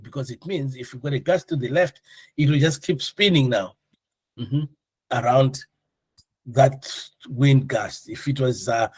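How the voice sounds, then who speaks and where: A man's voice: medium pitch, husky, no echo, low-quality recording. Man teaching in a dry, close sound. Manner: jokey, conversational, questioning. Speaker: air traffic control course instructor. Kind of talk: informal classroom teaching.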